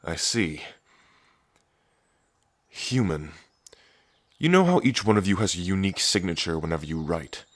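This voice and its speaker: A man's voice: animated, a little slow, pauses often, no room sound. A man playing a cocky, teasing dragon prince and protective big brother in an ASMR audio drama. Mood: alarmed, angry. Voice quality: deep, low. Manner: smug, flirtatious, teasing, sweary.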